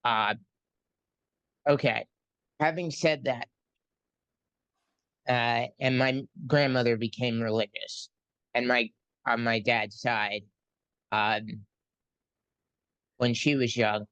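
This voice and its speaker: Slow, halting male interviewer. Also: mid-range voice, steady tone, a bit bright, clear, resonant tone, dry recording, highs cut off. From New York City. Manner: slow, halting, frequent ums and pauses. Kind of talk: casual conversational interview.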